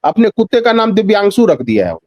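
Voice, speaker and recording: A man's voice: sits high, big pitch swings, fast talker, a little mellow, dry recording, dull audio. A man giving extended political commentary as a guest in a news discussion, emphatic, repeating phrases and posing rhetorical questions.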